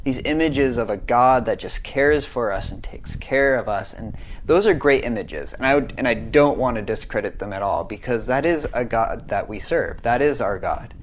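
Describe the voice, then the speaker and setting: A man's voice: medium pitch, lively intonation, moderate pace, few pauses, a little hiss or hum. A young American man giving a short devotional talk in an earnest, conversational way, often checking in with "right?". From United States.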